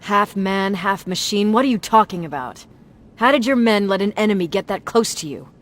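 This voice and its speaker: A woman's voice: mid-range voice, expressive, moderate pace, lightly acted. A woman playing a stern, sarcastic, contemptuous military field commander in a video game drama. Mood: demanding.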